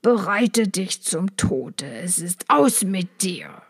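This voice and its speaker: A woman reading the part of a cruel knight husband in a spoken fairy tale. He threatens in a lowered voice and stretches each word out slowly. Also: big pitch swings, slightly rough, exaggerated voice. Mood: menacing. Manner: slow, drawn-out threats.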